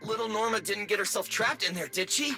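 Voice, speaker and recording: Casting: cocky robot buddy, high and very bright, brash game character over music. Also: male voice, expressive, brisk, creaky, exaggerated voice. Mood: alarmed. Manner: brash, informal. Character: cocky, excitable male robot sidekick.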